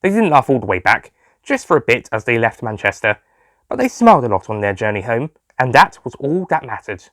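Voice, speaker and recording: A man's voice: mid-range voice, sing-song, fairly quick, a bit bright, dry recording. Dry-recorded male story narrator. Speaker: writer and narrator. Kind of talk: scripted audio story narration.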